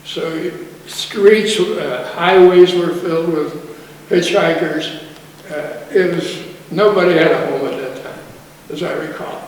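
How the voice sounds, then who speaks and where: An older Polish-born man who speaks slowly, pausing and correcting himself as he remembers. His voice sits a bit high for a man. He was recorded from far off in a big echoing hall with a long reverb. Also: a little hiss or hum. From Poland. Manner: slow, hesitant, self-correcting recollection. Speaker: war veteran interviewee. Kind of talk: oral history interview. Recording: distant echoey hall recording.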